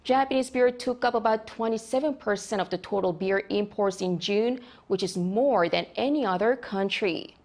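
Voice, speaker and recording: Woman anchor, clear steady news read, mid pitch. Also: normal speed, hardly any pauses. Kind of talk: scripted news reading.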